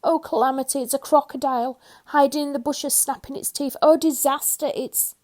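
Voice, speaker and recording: A woman's voice: high-pitched, fairly even intonation, normal speed, darkish tone, voice pushed up, natural voice. A woman voicing a nervous, jumpy little man who shrieks and stammers in melodramatic panic in a storybook reading. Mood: panicky.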